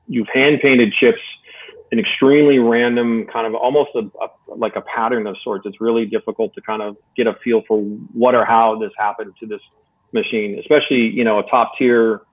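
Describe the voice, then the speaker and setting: A man's voice comes through a thin, phone-like channel at a middling pitch. He talks casually and encouragingly, explaining things step by step as a judge giving feedback. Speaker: contest judge. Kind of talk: casual spoken critique and advice.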